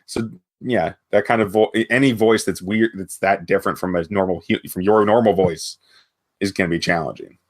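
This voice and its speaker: A man's voice: low voice, fairly even intonation, moderate pace. A man co-hosting a podcast, talking casually and thoughtfully as he works through examples. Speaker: podcast co-host.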